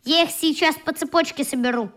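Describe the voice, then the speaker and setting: A woman's voice: sits high, a little fast, a bit bright. An actress playing an earnest, fair-minded young boy who leads his group in a radio play, with a matter-of-fact manner. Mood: eager.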